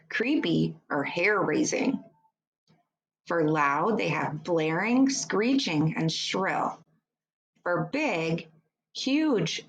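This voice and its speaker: A woman reads an educational children's book aloud like a teacher, in a deliberate, instructive way, adding short explanations for young pupils. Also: fairly low pitch, poor audio.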